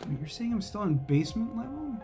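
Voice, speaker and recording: A man's voice: sits high, very animated, quick pace, warm tone, soft background music. A man doing casual live video game commentary, rambling and wry as he thinks aloud and chats with viewers.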